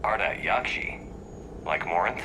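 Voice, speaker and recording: An actor playing a decisive military commander in a sci-fi video game, speaking in a terse, firm manner. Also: male voice, deep voice, animated, unhurried, noisy background. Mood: terse, businesslike.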